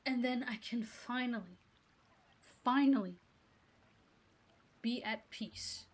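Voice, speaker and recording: Exasperated ranting woman, higher voice. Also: takes it slowly, breathy edge. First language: Arabic. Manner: rambling, exasperated, keeps breaking off mid-sentence. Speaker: gaming vlogger. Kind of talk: casual rant to camera.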